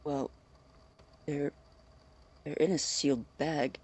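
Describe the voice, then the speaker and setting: An actor performs a nervous man with something to hide in an audio drama. His voice is husky and slow, breaking into defensive stammers. He sounds secretive and easily flustered. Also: mid-range voice, fairly even intonation, a little mellow. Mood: indignant, stammering. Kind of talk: naturalistic audio drama acting.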